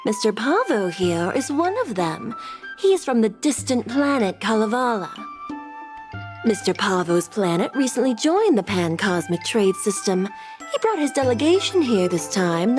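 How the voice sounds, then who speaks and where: A woman's voice: medium pitch, close to an everyday voice. A woman playing a courteous, formal government secretary in a video game, speaking politely and deferentially. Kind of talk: scripted video game dialogue.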